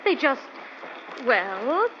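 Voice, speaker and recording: Earnest, high-voiced young companion. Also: female voice, very animated, extremely slow, exaggerated voice. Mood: earnest, polite. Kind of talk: old-time radio mystery acting. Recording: noisy, muffled old radio recording.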